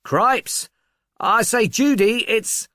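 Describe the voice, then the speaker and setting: Man acting an amiable young office lad, high-pitched, casual, excitable, audiobook character. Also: very slow, dry recording, studio quality, full character voice. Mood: startled, excited. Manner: casual, agreeable. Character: amiable young male film-studio clerk, excitable.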